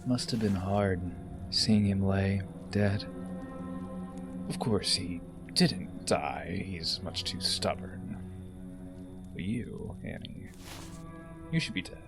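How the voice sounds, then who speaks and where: A man acting a coldly taunting, possessively fond rebel with hushed, deliberate menace in an audio drama. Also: low-pitched, gravelly, music in the background, full character voice. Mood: taunting, intimate.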